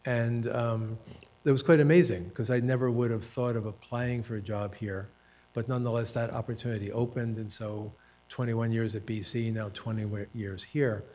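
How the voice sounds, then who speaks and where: Man, 70s, American author and professor; reflective panel storytelling, dry self-deprecating humor, hesitant pauses. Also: medium pitch, fairly even intonation, darkish tone. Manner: hesitant fillers and restarts.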